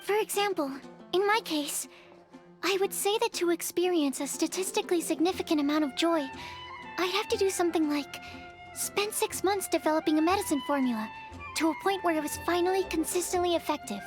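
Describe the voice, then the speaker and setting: Shy studious girl alchemist, very high delicate pitch, earnest, precise wording, video game dialogue over music. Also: fairly quick. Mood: explaining patiently. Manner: polite, precise, scholarly phrasing. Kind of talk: video game character voice acting. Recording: background music under the voice.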